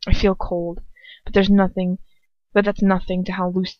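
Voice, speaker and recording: Woman, amateur audiobook narrator, medium pitch, expressive storytelling, occasional stumbles, close dull home recording. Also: normal speed, no echo.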